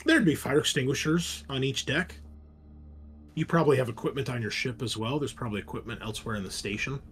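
A man's voice: mid-range voice, fast, fairly bright tone, faint music behind. A man narrating casually as game master of a tabletop roleplaying game. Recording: noticeable background noise.

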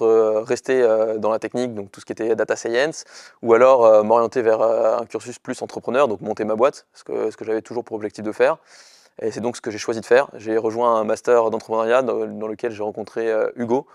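A man's voice: fairly low pitch, level tone, a little fast, a little mellow, no echo, clean studio sound. A young man, a startup chief executive giving an earnest, hesitant interview to camera in short bursts. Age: young adult. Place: indoor studio with dark backdrop. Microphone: lapel mic.

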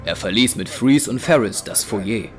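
A man's voice: fairly low pitch, lively intonation, moderate pace. A man narrating a scripted audio drama as storyteller. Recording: background music under narration.

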